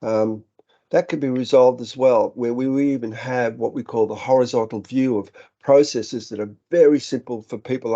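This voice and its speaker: A man's voice: mid-range voice, mellow. Australian man presenting, dull lo-fi audio. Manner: earnest, explanatory, with frequent fillers and restarts. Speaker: business director presenting a webinar. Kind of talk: webinar presentation. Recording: dull, slightly lo-fi webinar audio.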